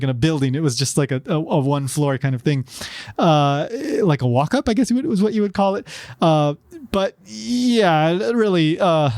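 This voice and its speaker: A middle-aged man talks through a solo podcast episode in a loose, rambling way, full of fillers and restarts. His voice sits a little high for a man. The sound is dry and close, with no room around it. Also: darkish tone, no echo. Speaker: podcast host. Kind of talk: casual solo podcast talk.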